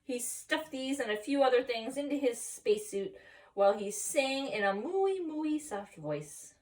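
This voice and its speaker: A woman reading a children's story aloud expressively, pausing for explanatory asides and questions to young listeners. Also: high-pitched, very animated, darkish tone, far from the mic. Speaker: story reader.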